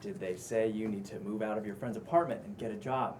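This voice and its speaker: A woman's voice: very deep voice, fairly even intonation, brisk, a little mellow, slight background noise. A woman playing a wry, easygoing male roommate at a comedy table read, casual and sardonic. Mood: wry, amused.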